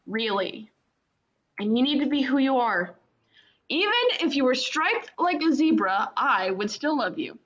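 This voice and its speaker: Actress as high-voiced supportive younger sister, muffled recording. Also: female voice, lively intonation, a little slow, a bit bright, heavily acted. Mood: reassuring. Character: supportive, teasing younger sister, a new mother. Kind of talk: radio play acting, naturalistic dialogue. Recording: dull, muffled low-fidelity microphone.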